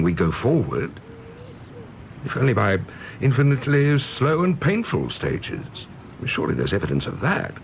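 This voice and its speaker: A man playing an earnest, prickly, idealistic middle-aged British gentleman in a radio drama, speaking formally and eloquently. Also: mid-range voice, slight background noise, rough recording.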